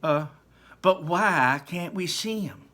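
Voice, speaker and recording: A man's voice: sits high, slow pace, pushed and bright, pitched above the performer's own voice, exaggerated voice. A man voicing a spoiled, demanding young girl who whines and pushes in a storybook reading. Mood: whiny. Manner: whiny, pushy, slangy. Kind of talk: storybook read-aloud.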